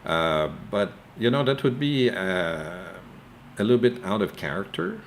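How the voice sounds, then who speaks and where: A man's voice: lowish voice, big pitch swings, a little slow, a little hiss or hum. A man co-hosting a casual chat over a video call, hesitant and tentative, restarting his sentences often. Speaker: co-host.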